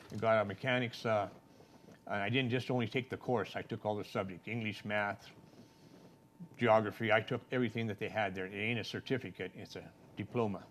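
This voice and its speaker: A man's voice: fairly low pitch, a little hiss or hum. An older man from a small Colorado town, answering interview questions about his life in a plain, rambling, folksy way. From Trinidad, Colorado. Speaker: city council candidate.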